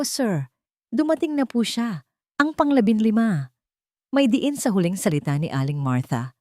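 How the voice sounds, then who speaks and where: A woman's voice: fairly low pitch, darkish tone, no echo, clean studio sound. A woman reading scripted dramatic fiction as an audiobook narrator.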